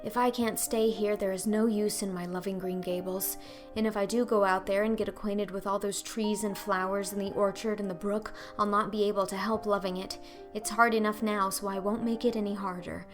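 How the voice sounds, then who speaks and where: A woman's voice: medium pitch, flat delivery, a little fast, faint music behind, lightly acted. A woman voicing a talkative, dreamy orphan girl in a storybook reading, effusive, pleading and melodramatic. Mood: wistful, martyred.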